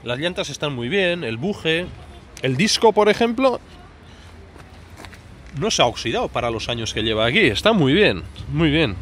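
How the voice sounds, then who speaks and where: Higher-voiced man chatting over street noise. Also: very animated, moderate pace, long pauses, a bit bright. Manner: chatty, colloquial. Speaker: motorcycle vlogger. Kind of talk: casual off-the-cuff vlog commentary. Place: outdoors in a street parking area. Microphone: handheld phone or camera, speaker behind it. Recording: noisy outdoor urban street.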